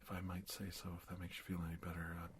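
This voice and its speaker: A man playing a courteous, startled investigator doctor in an ASMR roleplay, speaking in a hushed, breathy, stammering way. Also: sits low, flat delivery, noisy background, natural voice. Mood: polite, flustered. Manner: halting, stammering, formal and courteous. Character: startled, polite investigator doctor facing an alien. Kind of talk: ASMR roleplay monologue, soft-spoken and whispery.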